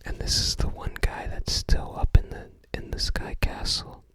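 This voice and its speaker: A person whispering softly and breathily in an ASMR style, musing unhurriedly in unscripted commentary. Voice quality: soft breathy whisper, unvoiced. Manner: unhurried, musing, tentative recall. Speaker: ASMR video host. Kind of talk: ASMR, unscripted whispered commentary while browsing a game guide book.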